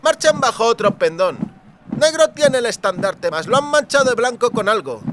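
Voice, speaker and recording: A man's voice: very high-pitched, big pitch swings, normal speed, background noise, pitched above the performer's own voice. A man voicing a naive, curious provincial lad with rustic, exclamatory talk in a fantasy audiobook.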